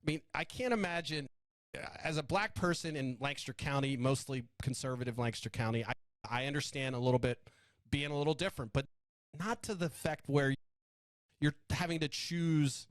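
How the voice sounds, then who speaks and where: A man's voice: a little high, brisk, no room sound. A man hosting a casual interview, asking curious questions and sometimes searching for his words. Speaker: podcast host.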